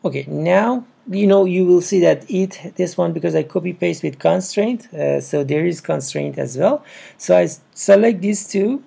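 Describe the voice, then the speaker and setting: A man with a non-native English accent narrates a casual step-by-step tutorial, with halting, broken phrasing. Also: high-pitched, expressive, vocal fry, mellow, breathy edge. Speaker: programming tutorial presenter. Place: indoors at a computer.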